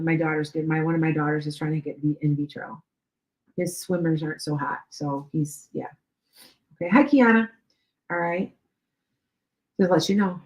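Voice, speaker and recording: A middle-aged woman with a Chicago and Wisconsin accent, a psychic medium giving live-stream readings to viewers. She talks chattily, repeats herself and often checks whether her words make sense. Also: on the low side. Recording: echoey room.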